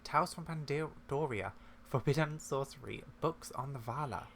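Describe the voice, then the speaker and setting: A man's voice: mid-range voice, normal speed, a bit bright. A man reading fantasy game quest dialogue aloud in a dramatized, storybook-like way. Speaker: gaming video creator. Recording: noticeable background noise.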